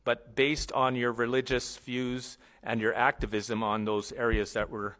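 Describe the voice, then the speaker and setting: A man with a mid-pitched voice moderates a hearing. He speaks formally but conversationally, haltingly reworking his phrasing as he puts things to a witness. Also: little pitch movement, fairly bright tone. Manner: halting, rephrases as they go.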